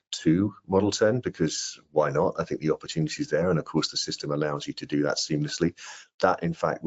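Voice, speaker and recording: A middle-aged man with a husky voice giving a product training presentation on a video call and taking questions. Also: rumbling low. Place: indoor room on a video call. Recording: dull, lo-fi video call audio.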